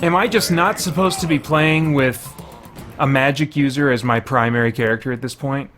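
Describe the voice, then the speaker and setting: Male game reviewer, mid-pitched, informal think-aloud commentary with mild exclamations, soft music underneath. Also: moderate pace. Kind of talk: casual gameplay commentary.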